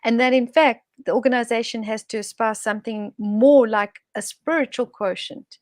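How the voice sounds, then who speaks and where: A woman's voice: a little high, steady tone, moderate pace, no room sound, clean studio sound. A woman guest on an online talk show, speaking in a thoughtful, expansive way with frequent restarts. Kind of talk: reflective online conversation. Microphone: video call.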